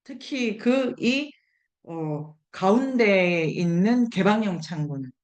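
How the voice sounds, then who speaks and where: Woman, seminar lecturer, medium pitch, conversational, thinking aloud, rhetorical questions, emphatic repetition. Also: expressive, slow, a little mellow, roomy sound, highs cut off. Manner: conversational, questioning, repeats key words for emphasis. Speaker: lecturer leading an online book seminar.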